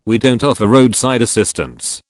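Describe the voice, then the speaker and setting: A man's voice: medium pitch, normal speed, no room sound, close to an everyday voice. A man reading the lines of an auto shop boss on the phone, patient and matter-of-fact.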